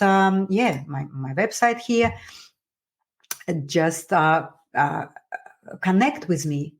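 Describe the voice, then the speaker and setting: A woman with a strong Russian accent, speaking as a wellness coach in an encouraging motivational talk, halting as she searches for words. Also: on the low side. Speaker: wellness coach and podcast host.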